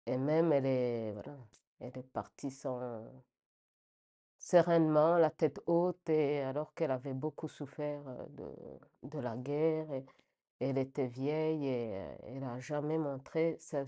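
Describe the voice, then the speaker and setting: Woman, middle-aged, deep mellow register, hesitant reflective delivery, unscripted personal testimony. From Rwanda. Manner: hesitant, halting, reflective phrasing with repeated words. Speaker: interviewee giving a personal testimony.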